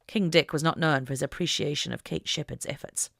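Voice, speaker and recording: A woman narrating an audiobook story in a deliberate, expressive, dramatic reading style. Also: low-pitched, creaky, dry recording, studio quality.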